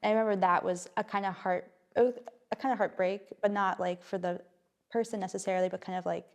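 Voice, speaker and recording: Soft-spoken woman with vocal fry. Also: medium pitch, fairly even intonation, fast talker. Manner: hesitant and reflective, many fillers and restarts. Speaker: playwright guest. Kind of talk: casual podcast conversation.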